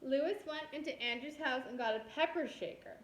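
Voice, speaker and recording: A woman's voice: high-pitched, moderate pace, slight background noise. A woman kindergarten teacher reading a storybook aloud expressively, talking directly to young children. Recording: roomy echo.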